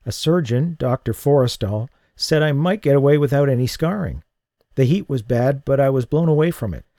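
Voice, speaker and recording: A man voicing a young woman left blinded and burned, speaking softly with a strained, halting manner in an audiobook. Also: medium pitch, expressive, dry recording, clean studio sound, pitched above the performer's own voice, natural voice. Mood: shaken. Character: injured young woman, blinded, fragile and shaken.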